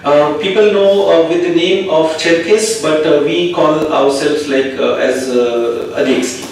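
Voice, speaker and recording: Man, interpreter, halting delivery, somewhat high voice, far from the mic, faint noise. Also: vocal fry. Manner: hesitant, many fillers. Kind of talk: consecutive interpreting. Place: conference hall. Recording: echoey hall, far mic.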